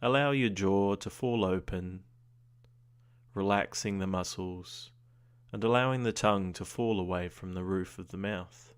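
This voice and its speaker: A man with a low voice leads a guided meditation. He speaks gently and soothingly, in short unhurried phrases. Long pauses separate his instructions. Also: smooth. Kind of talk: guided body scan meditation.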